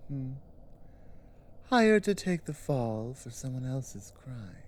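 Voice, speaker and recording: Casting: woman to play a domineering giantess captor for ASMR drama, coolly impatient and taunting, slow delivery with wide swings in pitch. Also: dark tone, full character voice. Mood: mocking. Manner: commanding, deliberate, taunting, with pauses and breathy drops.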